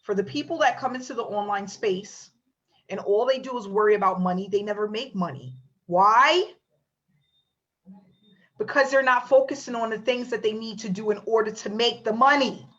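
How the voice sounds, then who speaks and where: A woman hosts a motivational online webinar, telling her own story with animated energy. Her voice sits a little high. The sound is dull and lo-fi, like audio from an online call. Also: normal speed. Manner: animated storytelling, acts out quotes. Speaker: webinar host.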